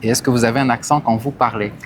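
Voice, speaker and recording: A man's voice: mid-range voice, steady tone, quick pace, slight background noise. A man working as an interviewer and French teacher, putting short, encouraging questions to people. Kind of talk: street interview with short questions and follow-ups.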